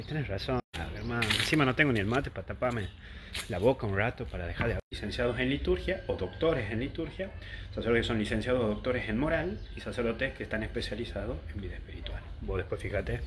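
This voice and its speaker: Chatty Argentine priest, phone video. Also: male voice, fairly low pitch, noisy background. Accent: Argentine Spanish. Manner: chatty, joking, explanatory. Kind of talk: casual catechesis to camera. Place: outdoor courtyard, then indoors. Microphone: phone held at arm's length.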